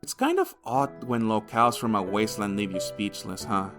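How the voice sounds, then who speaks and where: Man reviewing games, very animated delivery. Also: lowish voice, moderate pace, soft background music. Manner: crude, swearing freely, sardonic. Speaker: video game reviewer. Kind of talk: opinionated video essay narration.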